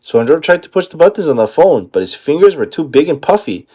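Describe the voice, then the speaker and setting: A man narrating a children's picture book aloud in an expressive storytelling style. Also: fairly high pitch, fast talker.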